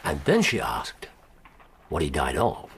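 An actor with an English accent playing a precise, dry-witted, commanding gentleman detective in a radio drama. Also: a bass voice, wildly swooping pitch, unhurried, creaky, a little hiss or hum, heavily acted. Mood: intent, grave.

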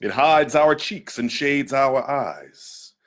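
A man giving a dramatic literary reading in a theatrical, deliberate manner with weighty pauses. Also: medium pitch, expressive, fairly bright tone.

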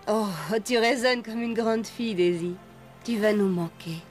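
A woman's voice: on the high side, measured pace, a little mellow, soft background music, lightly acted. A woman voicing a tender, worried mother in a French cartoon dub, soothing and comforting.

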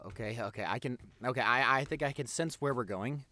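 A young man playing an affectionate, excitable vampire boyfriend in an intimate audio roleplay, gushing and stammering. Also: mid-range voice, fairly quick, lightly acted. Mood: curious, hesitant. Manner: gushing, stammering, repeats himself.

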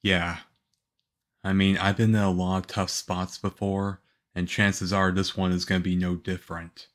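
A man playing a calm, reassuring young government agent in an ASMR roleplay, speaking softly. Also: sits low. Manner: soft-spoken, unhurried, reassuring.

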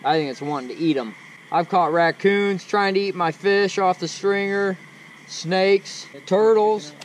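A man's voice: sits high, measured pace, a little hiss or hum, rough recording. A man, giving casual commentary while catching catfish on a riverbank at night. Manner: excited, talks while reeling in fish. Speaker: fishing vlogger. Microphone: action camera, sometimes far.

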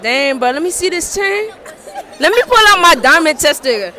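A woman's voice: sits high, very animated, moderate pace, crowd noise. Brash teen girl doing street interviews. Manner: teasing, brash, laughs often. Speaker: vlogger interviewer. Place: outdoor school courtyard. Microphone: handheld lavalier mic held out to guests.

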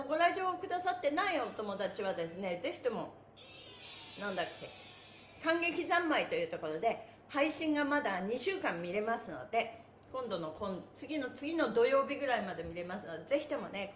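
A high-voiced middle-aged woman leads an exercise class with animated, encouraging calls and chants. Her phrasing is playful and polite. She is recorded at a distance in an echoey room, with some noise behind her. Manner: cheerful, encouraging, playful polite phrasing with honorifics for body parts; animated, calls out while moving through exercises. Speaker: stretch class instructor. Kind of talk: upbeat exercise instruction with counted chants. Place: small bare indoor room with mat. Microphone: camera a few metres away. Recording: roomy, distant mic with background noise.

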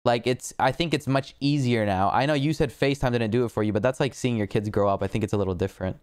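Young guy host on a close boom mic, super smooth clear voice, dry sound, laid back slangy chat. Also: no echo. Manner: casual, slangy, quick to joke. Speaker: podcast host. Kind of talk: casual chat. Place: home living room with a flag on the wall. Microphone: boom mic on arm close to mouth.

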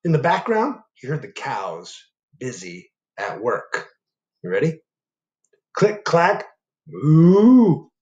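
A middle-aged man reads a picture book aloud from his living room over a webcam, pausing to quiz young listeners. His delivery is unhurried, with lively swings in pitch. The room gives his voice a noticeable echo. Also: lo-fi sound. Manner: animated, asks the young audience questions. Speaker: teacher reading aloud. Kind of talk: children's storytime read-aloud. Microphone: webcam at desk.

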